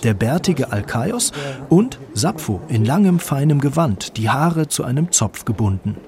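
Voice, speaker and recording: A man's voice: mid-range voice, sing-song, unhurried, crowd noise. A man reading scripted narration as the narrator of a radio documentary.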